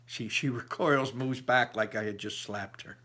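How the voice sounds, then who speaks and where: A man reading a children's novel aloud expressively, with emphatic pauses and thoughtful asides to the listener. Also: medium pitch, bright, forceful tone, breathy edge. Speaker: read-aloud narrator.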